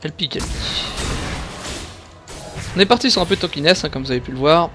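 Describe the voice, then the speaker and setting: A man commentating his own gameplay live, excitable and coaching the viewers on items. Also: a little high, fast. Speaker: gamer explaining his play. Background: game music.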